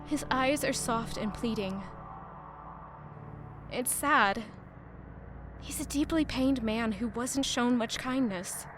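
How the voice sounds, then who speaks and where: An actress playing a defiant, wary young heroine in an audio drama, tense and resolute in dialogue and narration. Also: female voice, on the high side, slow, long pauses, music in the background. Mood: wary, reflective.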